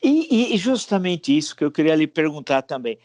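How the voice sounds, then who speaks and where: Discursive historian host, dry close sound. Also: male voice, fairly high pitch, very animated, a little fast, darkish tone, dry recording. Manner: discursive, explanatory. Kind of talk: interview questions.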